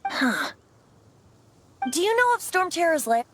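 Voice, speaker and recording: A woman's voice: extremely high pitch, very animated, measured pace, a little hiss or hum, exaggerated voice. A woman voicing a playful young bard boy who is secretly a god, coy and theatrical, in a video game. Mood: explanatory, playful. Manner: coy, theatrical, airy storytelling. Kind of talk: animated video game voice acting.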